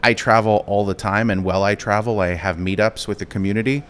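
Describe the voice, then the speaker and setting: A man answering interview questions at a conference in a relaxed, casual way, with the odd restart. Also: on the low side, brisk, smooth. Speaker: interview guest, company executive. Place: crypto conference venue.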